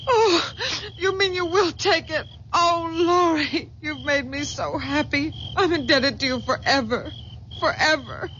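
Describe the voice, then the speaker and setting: A woman's voice: high voice, a little slow, background noise. An actress playing a desperate, clinging, isolated young woman in a melodramatic, pleading radio drama style, on a muffled old broadcast. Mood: grateful, overwrought.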